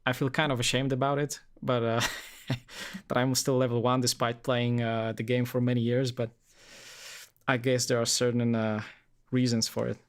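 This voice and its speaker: A man's voice: medium pitch, flat delivery, normal speed, clear, resonant tone. Young male streamer from Poland, easygoing rambling chat, full of fillers, mid-range voice. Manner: rambling, many fillers and restarts. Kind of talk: casual live-stream chat.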